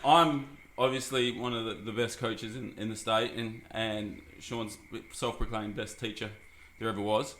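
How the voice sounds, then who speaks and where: A man's voice: fairly low pitch, fairly even intonation, a little slow, few pauses, fairly bright tone, a little hiss or hum. A man hosts a casual podcast, joking and rambling with lots of fillers. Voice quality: husky. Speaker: podcast host. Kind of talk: casual chat.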